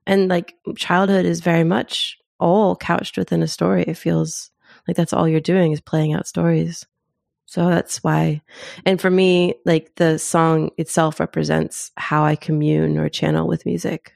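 A middle-aged woman with a fairly low voice and a noticeable creak. She tells personal stories in a thoughtful, expressive, informal way, as a guest in conversation. The sound is dry and close. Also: measured pace, dry recording. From born in Zimbabwe. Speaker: interview guest. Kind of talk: reflective personal storytelling in casual conversation.

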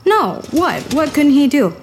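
A woman's voice: high voice, very animated, a little slow, a little hiss or hum, exaggerated voice. An actress playing an eager, inquisitive young lab assistant in an audio drama, probing as she talks. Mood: pressing, curious. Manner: probing, pressing with questions. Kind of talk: full-cast audio drama acting.

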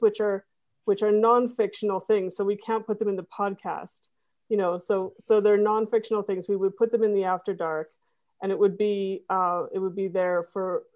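A woman's voice: medium pitch, darkish tone. Woman, podcast guest, casual chat, rambling, restarts sentences, mid-range voice. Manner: rambling, with many restarts and fillers.

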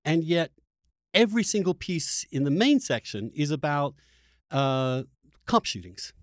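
A man discussing politics in an opinionated interview style, speaking haltingly and emphatically and often repeating phrases for weight. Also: fairly high pitch, very animated, no echo, clean studio sound. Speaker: podcast host.

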